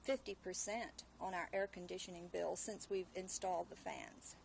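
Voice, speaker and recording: A woman's voice: lowish voice, steady tone, normal speed, vocal fry, a little mellow, poor audio. A woman answering as a homeowner in an archival television news interview. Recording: archival TV interview, faint noise.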